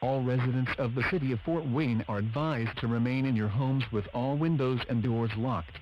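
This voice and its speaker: A man with a flat, synthetic-sounding delivery reads formal scripted announcements as a weather radio broadcaster. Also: mid-range voice, normal speed, highs cut off, slight background noise.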